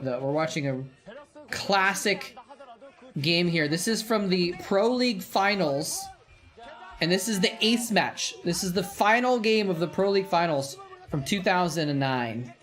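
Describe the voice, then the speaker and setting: A man hosting a live stream, chatting casually and reacting excitedly to the game he is watching. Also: sits high, moderate pace. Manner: chatty, rambling, gets excited and laughs at the action.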